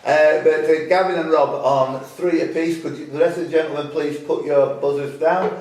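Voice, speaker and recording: A male quiz host with a fairly high voice makes casual, chatty announcements. He sounds some way from the mic in a heavily echoing club room. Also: steady tone, moderate pace, a little mellow. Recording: very echoey room, distant mic.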